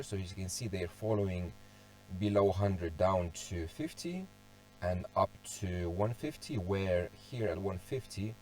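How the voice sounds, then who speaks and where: A young man who is sick and going hoarse walks through a tutorial casually, thinking aloud, restarting phrases and clearing his throat often. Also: lowish voice, a little mellow, rough recording. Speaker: car audio hobbyist presenting a tuning walkthrough. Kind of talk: casual screen-share tutorial.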